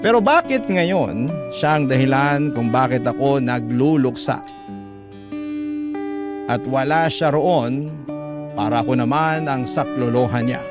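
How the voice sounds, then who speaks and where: Male narrator, emotive classic-radio letter reading, over loud guitar backing. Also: mid-range voice, expressive, measured pace, pauses often. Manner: emotive, addresses the letter to the host. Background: loud guitar music.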